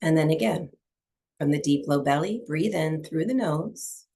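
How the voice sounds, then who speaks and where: A woman teaching breathwork and meditation to a small group, speaking softly and soothingly with long pauses between phrases. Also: deep voice. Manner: soft, unhurried, soothing delivery with long pauses.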